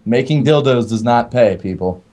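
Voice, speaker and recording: A man's voice: medium pitch, moderate pace, warm tone. A man chatting casually as an interview guest, telling tall stories in a mock-serious way. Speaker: band roadie and tech, interview guest. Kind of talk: casual joking chat.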